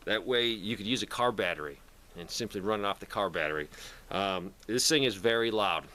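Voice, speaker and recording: A man's voice: on the low side, animated, brisk, bright, forceful tone. A man talking casually to camera in a plainspoken, rambling way, like a video host showing his gear. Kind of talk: casual product talk to camera.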